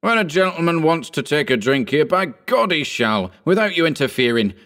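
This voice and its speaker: A man's voice: fairly low pitch, very animated, normal speed, a bit bright. A man voicing a coarse, blustering male saloon keeper in an audiobook reading. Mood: furious. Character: burly male saloon keeper, rough and bossy.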